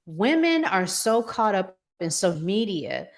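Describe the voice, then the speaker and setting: A woman's voice: medium pitch, very animated, unhurried. A woman hosting a talk show, giving conversational relationship advice emphatically and repeating phrases to drive them home.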